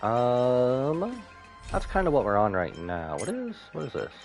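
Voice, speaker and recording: A man giving casual live commentary as a game streamer, rambling and animated, with jokey asides. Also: mid-range voice, a little slow, gravelly, faint music behind.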